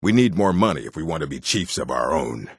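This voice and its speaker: An actor playing a gruff, proud orc in a fantasy video game, blunt and stern, with a deep, husky, gravelly voice. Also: male voice, big pitch swings, brisk, no room sound, clean studio sound, exaggerated voice. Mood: plain, brooding. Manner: blunt, stern, terse, solemn. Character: gruff, proud, hostile male orc of a stronghold.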